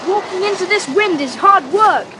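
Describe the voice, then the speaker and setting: A performer acts out a friendly, careful young schoolboy in a children's adventure drama. The voice is high and very lively in its pitch, earnest and well-spoken. Faint outdoor noise sits behind it. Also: moderate pace. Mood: eager, cautious. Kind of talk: children's audiobook drama acting.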